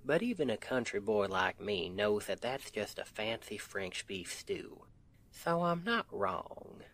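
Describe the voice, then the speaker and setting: A man's voice: on the low side, sing-song, full character voice. A man playing a doting country husband with a Southern accent in an intimate ASMR roleplay, folksy and affectionate. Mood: playful, teasing. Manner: affectionate, unhurried, folksy, gently teasing.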